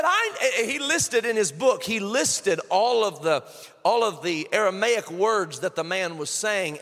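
A male pastor preaching a sermon, moving between quiet storytelling and shouted, fervent praise. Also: very high-pitched, long reverb tail.

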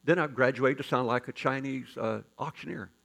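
Gravelly-voiced man preaching folksy sermon. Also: medium pitch, normal speed. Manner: folksy, joking, animated preaching with sudden loud rises. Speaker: pastor. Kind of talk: storytelling sermon.

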